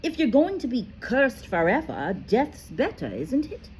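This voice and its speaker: Curious young boy wizard, questioning, higher voice, read by a woman. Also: huge pitch swings, measured pace, darkish tone, a little hiss or hum, exaggerated voice. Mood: wondering. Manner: puzzled, questioning.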